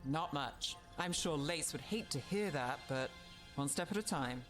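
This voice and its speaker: A level-headed male investigator in a fantasy game. His voice is fairly high for a man, dry and skeptical, with some music in the background. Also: animated, measured pace, fairly bright tone. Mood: wry, thoughtful. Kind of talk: video game cutscene acting.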